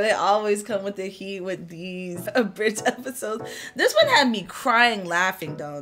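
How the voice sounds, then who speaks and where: A young woman giving casual, slangy, exclamatory commentary as a reaction video host. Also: lowish voice. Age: young adult. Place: small room at home, indoors. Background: video audio and music playing.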